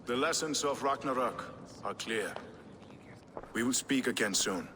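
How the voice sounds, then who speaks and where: An actor playing a commanding, measured Norse king in a video game, speaking formally and deliberately. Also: medium pitch, noisy background, natural voice. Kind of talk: dramatic scripted game acting. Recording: echoey hall with music underneath.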